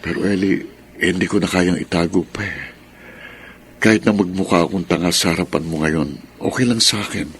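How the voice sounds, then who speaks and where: A man's voice: low-pitched, fairly quick, slight background noise, lightly acted. An actor playing a protective, lovelorn lawman with an earnest, solemn delivery in a radio drama. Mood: pleading. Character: protective male lawman, shy and lovelorn suitor. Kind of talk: horror radio drama acting.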